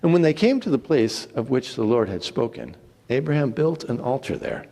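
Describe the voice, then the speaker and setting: A man giving a theatrical, deliberate dramatic reading of scripture, swelling and then hushing. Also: medium pitch, big pitch swings, creaky. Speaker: scripture reader. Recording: long hall reverb.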